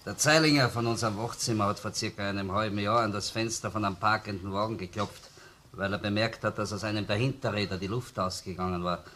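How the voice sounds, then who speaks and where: A man's voice: on the low side, fairly even intonation, fast, sharp tone, slight background noise. A man with a Viennese accent playing a doubting, persistent police detective in a radio crime drama, dry and colloquial. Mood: skeptical. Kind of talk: German-language radio crime drama acting.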